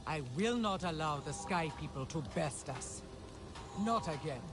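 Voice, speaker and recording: A proud warrior of an alien clan in a game cutscene. He speaks formally and defiantly in a slightly high voice, with music clearly playing behind. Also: expressive, unhurried. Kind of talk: video game cutscene acting.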